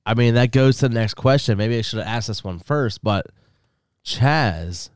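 A man's voice: medium pitch, normal speed, smooth, no room sound, clean studio sound. Laughing, stammering man hosting a chat. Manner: laughs often, repeats words when excited. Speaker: host asking the questions. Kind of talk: casual podcast chat.

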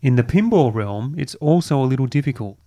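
Croaky-voiced male podcast host. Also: medium pitch, animated, a little fast, warm tone. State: croaky from a cold. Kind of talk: casual podcast chat.

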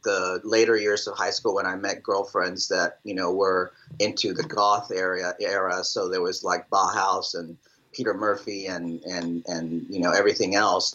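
Salvadoran-born guy in his 50s rambling thru an interview, low bright voice, lots of ums, kinda dull lo-fi sound. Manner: rambling, many fillers and restarts. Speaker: drummer, interview guest. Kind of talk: casual interview answers.

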